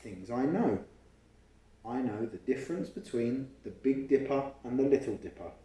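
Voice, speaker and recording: A man reading a picture book aloud to a child at home, pausing to explain and ask questions along the way. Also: mid-range voice, animated, warm tone, distant mic. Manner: explains and asks questions as he reads. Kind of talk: storytime read-aloud with chatty asides to a child. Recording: roomy, echoey home recording.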